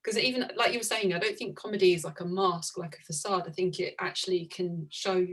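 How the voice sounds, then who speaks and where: A woman's voice: fairly low pitch, steady tone, a little fast. A woman artist in conversation, reflective, often searching for words and laughing easily. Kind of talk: conversation about art.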